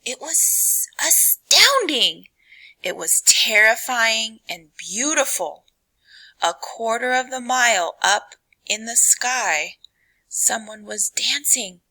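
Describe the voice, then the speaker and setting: Slow, slightly husky woman reading a storybook aloud. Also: fairly high pitch, animated, low-quality recording. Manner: dramatic, deliberate pauses with hushed phrase endings. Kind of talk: expressive children's read-aloud narration.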